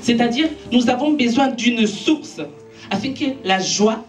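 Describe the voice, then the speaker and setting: A woman's voice: on the high side, a little slow, distant mic, faint music behind. A woman preaching a sermon to her church, an Italian native speaker, earnest and exhorting, lifting her voice when she preaches. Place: church gathering in a makeshift space.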